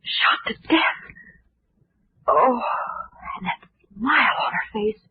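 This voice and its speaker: A woman playing a sleuth's curious, anxious companion with an expressive 1940s radio delivery. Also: a little high, slow pace, slightly rough, narrow, tinny audio, heavily acted. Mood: uneasy, horrified. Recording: noisy, thin 1940s radio recording.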